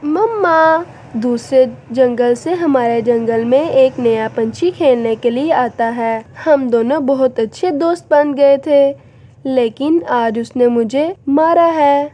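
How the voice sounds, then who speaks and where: A woman's voice: high-pitched, takes it slowly, warm tone, muffled recording. A woman voicing a small, plaintive young bird child in a children's cartoon. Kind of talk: children's cartoon story acting.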